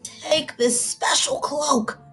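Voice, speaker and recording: A woman's voice: sits high, fairly even intonation, slow pace, pushed and bright, soft background music, voice pushed up, exaggerated voice. A woman voicing a mysterious, helpful old woman of the forest in a storybook, giving cautionary instructions. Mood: cautioning.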